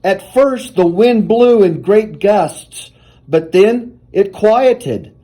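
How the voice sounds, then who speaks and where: Guy reading a kids story out loud, high pitched but mellow, takes his time. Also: animated, extremely slow, rough recording. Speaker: athletics director reading a children's story aloud. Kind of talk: children's picture-book read-aloud.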